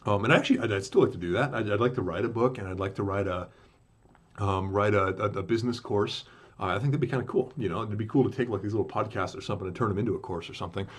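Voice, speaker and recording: Deep-voiced male podcaster, quick and rambling, full of restarts. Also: breathy edge. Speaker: podcast host. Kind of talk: casual rambling monologue.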